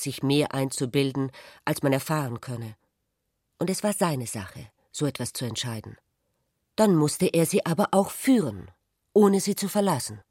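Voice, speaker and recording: An actress narrating a literary radio drama as a troubled, wounded woman, measured and introspective. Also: deep voice, darkish tone, dry recording, studio quality.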